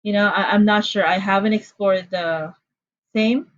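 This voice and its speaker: A woman's voice: mid-range voice, fairly even intonation, normal speed, muffled recording. A woman from the Philippines with a Filipino accent, teaching an online class in a chatty, apologetic way with frequent fillers. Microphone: computer mic on a video call.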